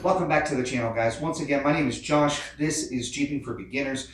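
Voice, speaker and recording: A man's voice: mid-range voice, a little fast, loud music behind the voice. An American man hosting an explainer vlog, talking informally and directly to his viewers. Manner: informal, addresses viewers as guys. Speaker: host of a Jeep off-road channel. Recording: very echoey, distant mic.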